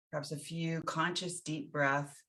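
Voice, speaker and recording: Female meditation teacher, low register, very unhurried. Gentle, soothing guidance in short, spaced phrases. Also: low-quality recording. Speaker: meditation teacher leading a guided session. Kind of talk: guided meditation.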